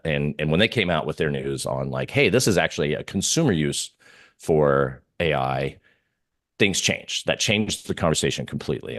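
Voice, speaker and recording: Male analyst guest, very low voice, dry close sound, halting explanatory delivery of casual market talk. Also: fairly bright tone, no echo.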